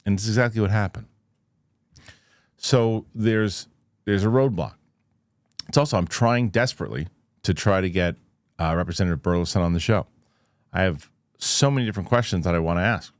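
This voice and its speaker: A man's voice: fairly low pitch, dry recording. A man speaking casually as a show host, giving emphatic, conversational commentary straight to his viewers and thinking aloud. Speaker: host of a UFO news show.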